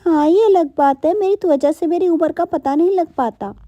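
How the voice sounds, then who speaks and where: A woman puts on a sociable female bird soup seller for a cartoon. The voice is very high and chirpy, with a mellow edge and a brisk, polite manner. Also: dry recording, highs cut off. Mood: surprised.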